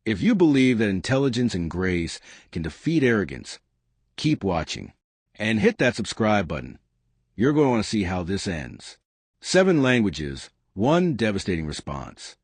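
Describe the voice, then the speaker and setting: A man's voice: fairly low pitch, sing-song, moderate pace, husky, no echo. A man narrating a scripted dramatic story in a storytelling manner.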